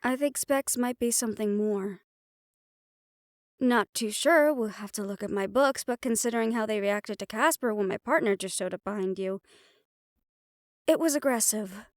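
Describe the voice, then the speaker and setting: A woman's voice: medium pitch, moderate pace, no echo, studio quality, natural voice. A woman playing a protective, knowledgeable occult investigator in an audio drama, explaining things in a reassuring but firm manner. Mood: thoughtful, explaining. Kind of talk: audio roleplay acting.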